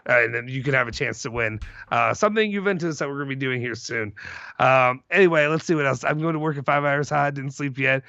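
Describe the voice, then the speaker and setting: A man in his thirties with a hoarse, gravelly voice, casually hosting a football fan live show and answering viewer comments. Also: medium pitch, fast, sharp tone, no echo, muffled recording. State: voice more hoarse than normal.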